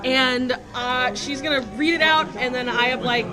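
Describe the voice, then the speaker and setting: A young woman host in casual chat, reacting with loud, profane, animated rants. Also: sits high, normal speed, a crowd in the background, poor audio.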